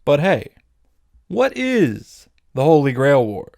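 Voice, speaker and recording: A man's voice: a little high, huge pitch swings, slow pace, creaky, a little mellow, no room sound, studio quality. A man narrating a comedic scripted recap as a video host, wry, playful and casually irreverent.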